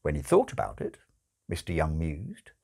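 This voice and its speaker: A man in his sixties reads a comic novel aloud expressively, with dramatic, wryly emphatic phrasing and deliberate pauses, recorded in a room at home. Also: extremely low pitch, huge pitch swings, creaky, darkish tone, husky. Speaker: audiobook-style reader. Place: small furnished room at home, indoors.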